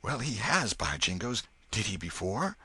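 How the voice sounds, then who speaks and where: A man voicing a scared, superstitious young village boy who speaks in a rustic backwoods dialect, hushed and fearful. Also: on the low side, sing-song, normal speed, husky, no room sound, pitched above the performer's own voice, heavily acted. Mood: frightened.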